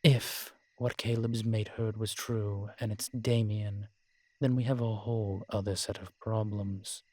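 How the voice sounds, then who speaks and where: A man playing a world-weary, morose male vampire in a roleplay audio drama, speaking in a measured, formal, brooding manner with sighs and pauses. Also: on the low side, level tone, darkish tone, no room sound. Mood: grim, resigned. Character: weary old male vampire, morose realist, formerly cruel.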